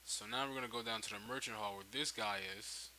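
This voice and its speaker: A man giving a casual, unscripted software tutorial, thinking aloud and backtracking as he explains. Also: fairly low pitch, fairly even intonation, quick pace, low-quality recording. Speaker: tutorial host. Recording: hissy home microphone, noticeable background noise.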